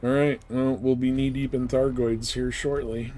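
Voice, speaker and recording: A man's voice: mid-range voice, flat delivery, moderate pace, mellow, a little hiss or hum. A man streaming a space game, giving casual gameplay commentary with game sound behind him. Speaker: live streamer playing a space flight game.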